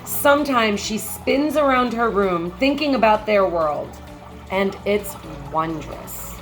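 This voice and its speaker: A woman reads a storybook aloud in the manner of a teacher. Her pace is slow and measured, with expressive phrasing and a mid-range pitch for a woman. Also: faint music behind, low-quality recording. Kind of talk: picture book read-aloud with brief comments.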